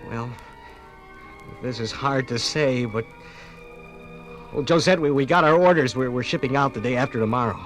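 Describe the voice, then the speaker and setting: An awkward young American soldier in love played by a male actor talks in halting, earnest stammers. His voice sits at a middling pitch over clear background music, in vintage 1950s radio drama style. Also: natural voice, poor audio. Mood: nervous, tender. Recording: 1950s radio production from vinyl record.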